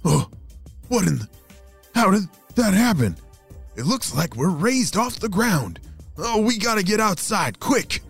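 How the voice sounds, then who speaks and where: A man's voice: high-pitched, sing-song, a little slow, gravelly, husky, soft background music, pitched above the performer's own voice, heavily acted. A man doing a kindly old grandpa. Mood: calm.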